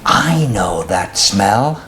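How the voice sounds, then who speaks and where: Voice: low-pitched, big pitch swings, extremely slow, a bit bright, a little hiss or hum, voice pushed down, exaggerated voice. Storyteller voicing a hungry, eager young storybook bear. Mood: curious, eager. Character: hungry, honey-loving young male bear, eager and plaintive.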